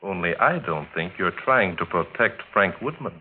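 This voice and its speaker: An actor playing a suave, amused amateur detective in 1940s radio mystery style, teasing and coolly in command. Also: male voice, sits low, animated, moderate pace, faint music behind. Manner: teasing, chuckling, coolly authoritative. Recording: tinny, noisy 1940s radio recording.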